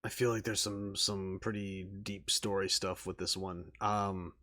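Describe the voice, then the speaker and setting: A man's voice: low-pitched, flat delivery, measured pace, pushed and bright. A man giving casual, off-the-cuff reaction commentary as a video game host, hesitant and thinking aloud as he repeats words.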